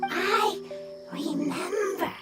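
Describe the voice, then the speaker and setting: A small, earnest fungus creature in an anime game. It speaks extremely high and very slowly, in childlike broken fragments. Loud music sits over the somewhat distant voice. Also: female voice, exaggerated voice. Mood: earnest, halting. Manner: halting broken speech in short fragments. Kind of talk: anime video game voice acting.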